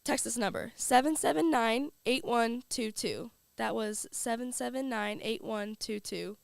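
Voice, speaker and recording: Young woman, radio host, has a cold, chatty and rambling, fairly high voice, smooth clear tone, dry close mic. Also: a little slow, dry recording. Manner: chatty, uses filler words like "um" and "like". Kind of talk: casual radio talk. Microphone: studio microphone.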